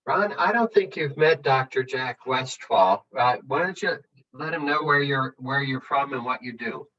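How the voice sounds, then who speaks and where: A male host making relaxed small talk and introducing a guest on a video call. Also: medium pitch, moderate pace. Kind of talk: casual video call chat. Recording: distant, dull video call audio.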